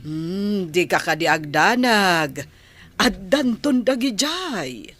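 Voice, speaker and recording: A woman's voice: mid-range voice, very animated, very slow, a bit bright, full character voice. An actress with an Ilocano accent playing a chatty, reassuring elderly village grandmother in a radio comedy drama.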